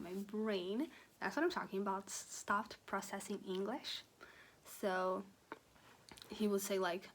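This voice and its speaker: A young woman from Brazil, a native Portuguese speaker with a Brazilian accent, giving a candid, animated book review chat with casual swearing. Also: medium pitch. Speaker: book vlogger.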